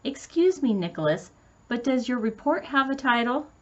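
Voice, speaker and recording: A woman's voice: on the high side, big pitch swings, moderate pace, muffled recording, voice pushed up. A woman voicing a prim, strict but approving schoolteacher in a storybook, with a formal, correcting manner. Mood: prim correction.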